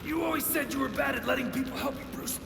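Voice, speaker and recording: An actor playing a loyal, cocky young hero in a video game cutscene, emotional and informal. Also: male voice, very high-pitched, fast, gravelly, exaggerated voice. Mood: worried, urgent. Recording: echoey space, loud background noise.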